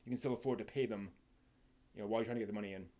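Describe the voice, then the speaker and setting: A man hosting a live stream, giving casual unscripted commentary in a halting, rambling way that repeats words and trails off. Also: lowish voice, fairly even intonation, mellow. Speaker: live stream host and anime reviewer.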